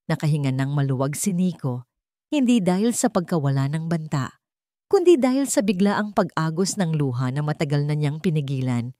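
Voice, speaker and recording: A woman's voice: deep voice, expressive, normal speed, mellow, no echo, clean studio sound. A woman narrator reading a scripted, dramatic story.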